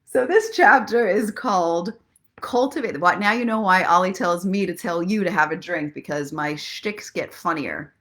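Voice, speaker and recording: A woman hosting a casual live online show, speaking in an expressive, earnest and encouraging way, with a playful streak. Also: on the low side, normal speed. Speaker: host of a live online story and inspiration show. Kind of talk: casual live chat mixed with guided relaxation and motivational talk.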